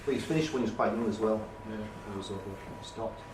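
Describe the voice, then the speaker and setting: A man's voice: on the low side, fairly quick. A man taking part in a quiz, giving short answers and chatting casually between questions. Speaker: quiz contestant. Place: echoey indoor room at a live quiz event. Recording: distant mic, echoey room, noisy background.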